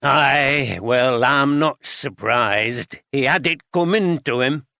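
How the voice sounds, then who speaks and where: A man voicing a blunt, gruff brewery guide in his sixties with a broad Yorkshire accent. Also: medium pitch, slow, pushed and bright, dry recording, clean studio sound, exaggerated voice. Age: 60s.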